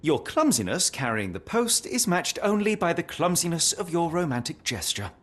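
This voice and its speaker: A brusque, high-pitched arrogant detective. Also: male voice, very animated, moderate pace, a bit bright. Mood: impatient. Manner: brusque, articulate, pompous. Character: sharp, arrogant, restless young male consulting detective. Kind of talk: video game cutscene acting.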